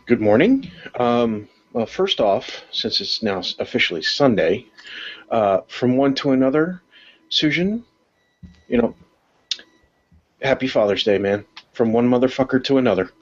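A man on a video call jokes playfully and swears as he greets the others. His pitch swings lively around a mid range. The audio is dull and lo-fi. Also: unhurried. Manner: playful and profane. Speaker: panel guest. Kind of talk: casual roundtable talk. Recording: dull lo-fi sound.

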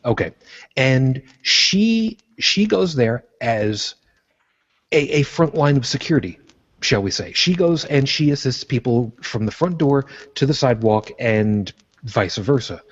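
Husky, rambling podcast guy from Brooklyn. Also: mid-range voice, expressive, measured pace, lo-fi sound. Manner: rambling, self-correcting, jokey. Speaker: podcast co-host. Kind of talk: casual chat.

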